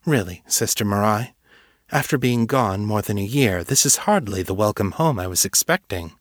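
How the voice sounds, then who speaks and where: Man voicing a calm, careworn older priestess, dry sound. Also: lowish voice, moderate pace, no echo. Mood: calm reproof. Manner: quiet, composed, mildly reproving.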